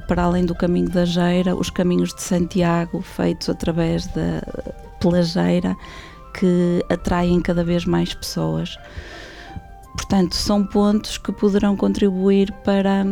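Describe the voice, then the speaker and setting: A woman speaking as a guest on a local panel, measured and hesitant with frequent fillers. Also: on the low side, creaky, mellow. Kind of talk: formal local panel talk.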